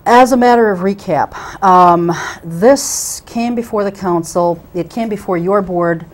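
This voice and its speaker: A woman's voice: medium pitch, unhurried. A middle-aged woman hosting a local cable talk show from a small studio, introducing guests with many ums and restarts. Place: small TV studio with curtain backdrop. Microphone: lapel mic.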